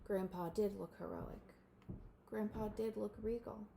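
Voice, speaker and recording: Slow-paced woman reading to children. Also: medium pitch, gravelly, background noise. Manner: animated, with asides to listeners. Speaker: storybook reader. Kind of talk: expressive read-aloud for children.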